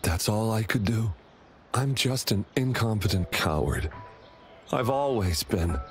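Slow-spoken timid young brother. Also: male voice, lowish voice, animated. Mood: ashamed, dejected. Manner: hesitant, apologetic, soft-hearted. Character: timid, remorseful young man, devoted older brother. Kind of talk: video game character dialogue. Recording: clear music behind the dialogue.

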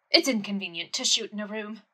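A woman playing a proud, indignant lady in a comic audio play, speaking with imperious, drawn-out emphasis. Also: mid-range voice, sharp tone. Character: proud, fiery, indignant lady challenging a suitor. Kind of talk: theatrical audio play comedy.

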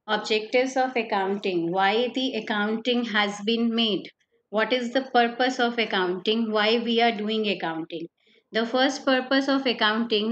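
Woman teacher, higher voice, patient and repetitive lecturing, lo-fi online class mic. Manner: explains step by step, repeats key points, asks "right?". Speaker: accountancy teacher. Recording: slightly dull lo-fi online class audio with faint noise.

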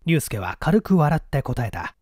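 Male narrator, scripted mystery reading, lively pitch, close dry recording. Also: measured pace, husky, no echo.

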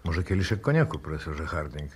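An actor playing a grim, fatalistic professor in a 1960s radio drama, deliberate and ominous, moving between hushed and forceful delivery. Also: male voice, rumbling low, sing-song. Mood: cool, resigned. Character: grim, fatalistic male professor calmly awaiting a deadly explosion. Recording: noisy old radio drama recording.

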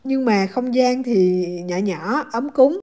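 Travel vlogger: woman, southern Vietnamese accent, slightly high pitch, dark-soft relaxed tone, chatty and affectionate casual narration. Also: slow. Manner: chatty, addresses viewers affectionately.

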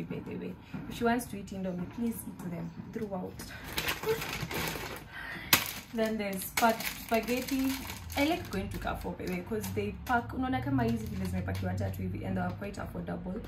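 A young woman with a Kenyan accent talks through a shopping haul in her home kitchen. She sounds chatty and animated, close to the camera, with steady noise under her voice. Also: medium pitch. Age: young adult. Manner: chatty and animated, mixing English with Swahili. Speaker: vlogger. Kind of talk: casual shopping haul chat. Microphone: camera in front at close range.

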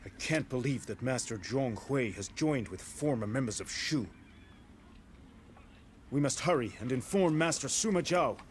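An actor playing a noble young warrior in a video game, speaking formally with solemn, earnest conviction. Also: male voice, mid-range voice, expressive, moderate pace, slight background noise, close to an everyday voice. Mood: grave resolve. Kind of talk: dramatic game cutscene acting.

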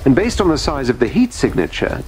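An actor playing a polite, dry-witted AI butler assistant in a video game, formal, precise and deferential in manner. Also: male voice, medium pitch, wildly swooping pitch, brisk. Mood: calm, informative. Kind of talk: cinematic video game voice acting. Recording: loud music behind the voice.

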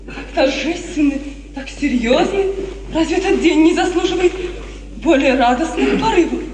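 An actress playing an innocent, excitable young bride and dutiful daughter in a girlish, theatrical 1950s radio drama style. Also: high-pitched, normal speed, recorded from a distance. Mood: playful, tender. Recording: noisy echoey 1950s radio drama recording.

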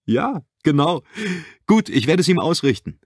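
Man putting on a high-pitched, gushing young woman receptionist. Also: very animated, moderate pace, breathy edge, no room sound, studio quality. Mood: breezy, helpful. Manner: bright, gushing, chatty. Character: chirpy, eager young female receptionist, gushing and helpful.

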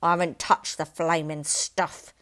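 A woman reading aloud, voicing an irritable, blustering middle-aged man who shouts and complains. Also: low-pitched, lively intonation, fast, exaggerated voice. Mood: furious. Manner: yelling, indignant, loud.

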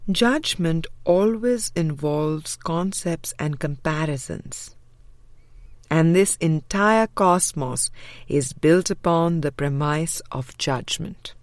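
A woman reading a spiritual audiobook with measured, deliberate phrasing. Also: low-pitched, animated, no room sound. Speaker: audiobook reader.

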